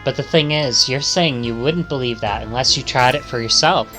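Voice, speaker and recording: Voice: mid-range voice, normal speed, fairly bright tone, faint music behind. A streamer reading visual novel text aloud and adding casual commentary.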